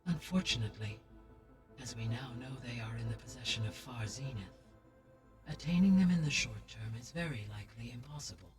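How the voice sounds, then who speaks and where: A woman voicing a calm, formal female AI guardian in a video game, speaking in a measured, precise way. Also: very deep voice. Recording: distant game audio with music.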